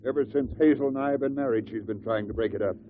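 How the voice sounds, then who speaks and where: An actor playing a bitter, short-tempered husband, curt and sarcastic, in a 1950s radio drama. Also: male voice, mid-range voice, quick pace, close to an everyday voice. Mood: testy, bitter. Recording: tinny, noisy old radio recording.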